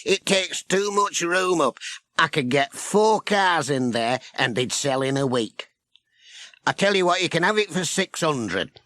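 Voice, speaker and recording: Guy doing a blunt wheeler-dealer in a kids story, voice pushed up, lively. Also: fairly high pitch, measured pace, no room sound, voice pushed up. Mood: businesslike. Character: blunt, haggling male owner of old bus.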